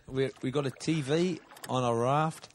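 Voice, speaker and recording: A man's voice: medium pitch, fairly even intonation, unhurried, a little hiss or hum, natural voice. A man putting on an exaggerated Australian accent as a laid-back surfer bloke, bantering hesitantly and matily as a comedy podcast host. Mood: matey rambling. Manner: matey, rambling, hesitant.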